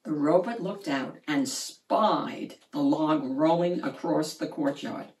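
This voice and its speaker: A woman reading a children's picture book aloud in an expressive, animated storytelling manner. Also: sits low, slow. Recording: roomy, distant mic.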